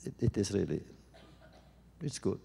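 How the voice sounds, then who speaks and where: A man answering audience questions at a public talk, speaking thoughtfully and haltingly with many restarts. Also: fairly low pitch, sing-song, gravelly, mellow, long reverb tail, slight background noise.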